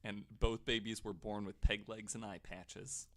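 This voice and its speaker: A man's voice: fairly low pitch, little pitch movement, unhurried, a bit bright. A man in casual comedic banter, tossing playful, jokey interjections into a conversation. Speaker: podcast panelist reacting to a story.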